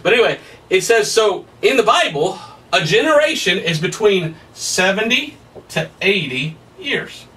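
A man in his thirties preaching a Bible study at a whiteboard, emphatic and excitable, his voice somewhat hoarse. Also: sits high, measured pace, distant mic. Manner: emphatic, rising to excited peaks, laughs at his own points. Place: small room in front of a whiteboard. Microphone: camera at a distance.

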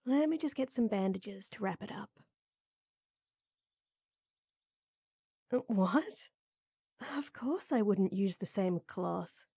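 A woman playing a tall, strong lady knight who flirts and teases softly and coyly in a roleplay audio drama. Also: medium pitch, animated, measured pace, slightly rough, no echo, studio quality. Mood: caring, reassuring. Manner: teasing, coy, soft and intimate with chuckles.

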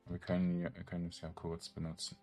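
A man with a low voice talks through a video game as a let's play host. His commentary is casual and comes in short, murmured remarks, as if to himself. Faint music plays behind him. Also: completely flat delivery, fast, warm tone.

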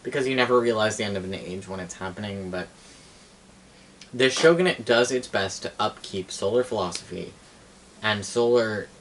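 Young man, lowish voice, casual storyteller, halting explanatory delivery, self-correcting, faint noise on a distant room mic. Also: lively intonation, a bit bright. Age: young adult. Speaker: storyteller and game master. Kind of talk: casual rambling explanation of fantasy game lore. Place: small cluttered living room, indoors.

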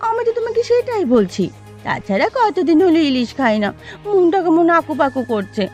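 A woman's voice: extremely high pitch, sing-song, moderate pace, a little mellow, soft background music. A woman voicing a sly, greedy village neighbour wife in a Bengali cartoon. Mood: scheming, eager.

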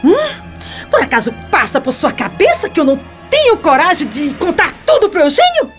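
A venomous, domineering schemer with a high Brazilian voice that swoops wildly. She is mocking and emphatic, in radio soap style, over a music bed. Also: wildly swooping pitch, brisk, full character voice. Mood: threatening, taunting.

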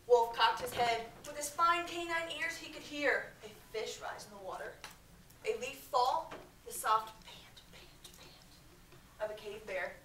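An American woman reads a story aloud in a high, projected schoolteacher voice. She is expressive and breaks off to question her pupils. She is picked up from across an echoey classroom with faint noise behind her. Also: measured pace, recorded from a distance. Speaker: elementary school teacher. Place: medium-sized school classroom, indoors. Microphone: far camera.

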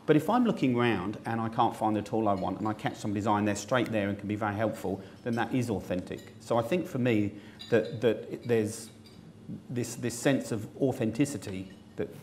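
A man, speaking on a panel with frequent restarts and lively asides. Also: fairly low pitch, brisk, slight background noise. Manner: hesitant restarts, animated asides. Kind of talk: panel discussion. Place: event studio with branded backdrop. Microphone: lapel mic.

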